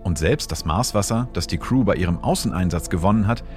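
A male astrophysicist hosting a podcast, explaining the science behind a story in a precise, lively narration. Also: sits low, brisk, soft background music.

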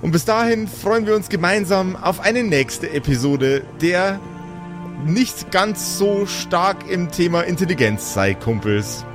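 Animated male game master, faint music. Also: high voice, measured pace. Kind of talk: improvised storytelling for a tabletop role-playing podcast.

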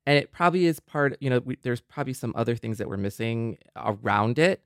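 A man's voice: medium pitch, expressive, fairly quick, fairly bright tone, no echo, studio quality. A man with an American accent co-hosting a casual podcast, agreeable and self-deprecating as he talks things through. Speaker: podcast co-host.

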